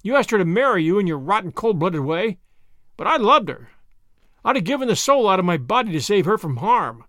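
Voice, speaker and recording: A man's voice: sits high, sing-song, fairly quick, no room sound, clean studio sound. A man playing a hot-tempered, heartsick young Englishman. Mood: anguished fury. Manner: clipped, bitter, impassioned. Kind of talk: audiobook character voice.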